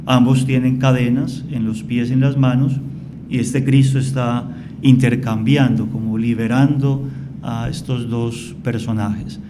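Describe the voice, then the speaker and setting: A man from Colombia, a priest guest on a radio interview, explaining at length in a calm, storytelling way. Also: medium pitch, measured pace, background noise.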